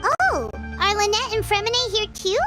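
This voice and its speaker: A woman voicing a tiny, excitable, childlike fairy companion in an anime-style video game, squeaky and nosy. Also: moderate pace, music in the background. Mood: excited, curious. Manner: exclamatory, refers to self in third person.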